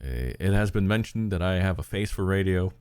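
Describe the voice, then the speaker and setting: A man co-hosting a casual podcast chat, animated and acting out quotes in loud, high voices. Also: fairly quick, smooth, dry recording, clean studio sound. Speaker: podcast co-host.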